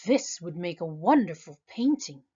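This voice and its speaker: Woman doing a gentle young artist. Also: fairly low pitch, very animated, moderate pace, slightly rough, dull audio. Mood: thoughtful. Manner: thoughtful and gentle. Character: kindly young male artist.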